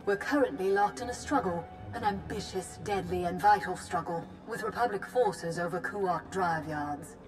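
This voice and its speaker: A woman's voice: on the low side, normal speed, few pauses, close to an everyday voice. A woman playing a bold, ruthless female admiral in a video game, commanding and formal, with a sardonic edge. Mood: confident. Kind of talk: video game cutscene acting. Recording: orchestral game music behind.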